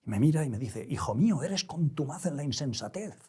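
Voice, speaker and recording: An older man with a European Spanish accent speaking reflectively in an interview, deliberate and halting, choosing erudite words with care. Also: on the high side, lively intonation, dark tone, husky. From Spain. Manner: deliberate, halting, with erudite careful word choice. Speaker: interview guest, novelist.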